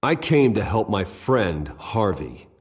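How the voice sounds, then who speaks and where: A man playing a loyal, confident rich friend in a video game, sincere and steady. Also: on the low side, takes it slowly. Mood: pleading. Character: calm, confident, loyal wealthy male friend. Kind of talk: dramatic video game acting. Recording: distant, echoey room, faint music.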